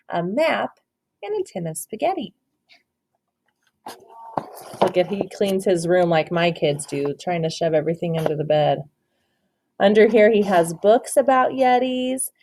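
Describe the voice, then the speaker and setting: A woman reading a children's picture book aloud as storyteller, expressive, with chatty asides that point things out and question her listeners. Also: medium pitch.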